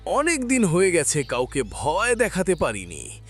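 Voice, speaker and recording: A man voicing a mischievous, brooding demon in a children's folk-tale cartoon, slow and sighing. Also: sits high, huge pitch swings, soft background music, exaggerated voice. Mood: brooding, scheming. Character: mischievous demon, idle, brooding, plotting scares.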